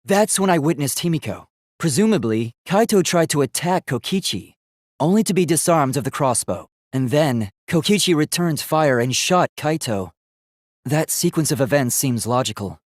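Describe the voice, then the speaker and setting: A man's voice: fairly high pitch, animated, normal speed, dry recording, clean studio sound, natural voice. A man voicing a polite, earnest, logical young male robot in a video game, speaking formally and precisely. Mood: insistent, logical. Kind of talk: English video game voice acting.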